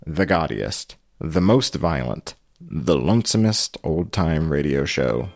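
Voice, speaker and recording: Very deep-voiced man hosting a podcast. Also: very animated, slow, creaky, a little mellow. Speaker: podcast host. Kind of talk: casual podcast talk.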